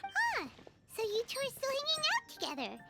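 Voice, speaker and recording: A woman voicing a tiny, excitable, childlike fairy companion with a squeaky, cheeky delivery in a video game. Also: a little fast, full character voice. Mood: eager, curious. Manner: refers to self in third person, exclaims eagerly. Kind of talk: cartoonish video game voice acting. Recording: music behind the voice.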